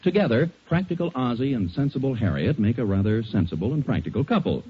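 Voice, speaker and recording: A man's voice: mid-range voice, sing-song, fast talker, husky. A man with an American accent announcing in polished, persuasive 1940s radio style, as scripted narration and advertising. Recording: muffled 1940s radio recording.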